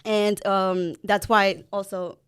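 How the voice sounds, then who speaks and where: Smooth-voiced woman, candid and chatty. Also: mid-range voice, little pitch movement, takes it slowly. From El Salvador. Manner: candid, a little nervous, laughs easily. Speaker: podcast co-host, guest. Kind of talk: casual interview chat.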